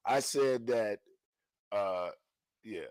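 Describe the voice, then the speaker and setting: A man's voice: lowish voice, very slow, darkish tone, no room sound. Slangy, emphatic male livestream host. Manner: slangy, emphatic, repeats phrases. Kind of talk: casual talk-show monologue.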